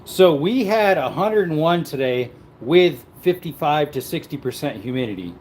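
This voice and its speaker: A man's voice: on the high side, animated, normal speed, darkish tone, slight background noise. A man casually chatting to viewers while cooking at home, rambling in an easygoing way. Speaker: cooking livestream host. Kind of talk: casual live-stream chat while cooking.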